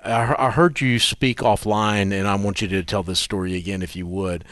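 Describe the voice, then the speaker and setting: A man with a fairly low voice hosts the interview, talking fast and rising sharply in pitch when he asks things. Dry, close studio sound. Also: no room sound. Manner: quick, animated, jumps in pitch on questions. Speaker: podcast host. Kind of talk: interview questions.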